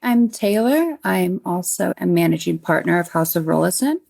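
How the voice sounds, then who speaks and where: A woman from Northern California speaks casually as an interview guest. Her voice is lowish and husky, with very heavy vocal fry running through it. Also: expressive, normal speed, a little mellow. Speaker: interview guest, renovation business partner.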